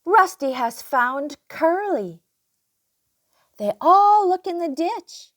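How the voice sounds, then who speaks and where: Storyteller, older female, for children's picture book read-aloud. Slow, expressive delivery with lively swings in pitch. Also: no echo, clean studio sound. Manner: animated, unhurried, acts out dialogue.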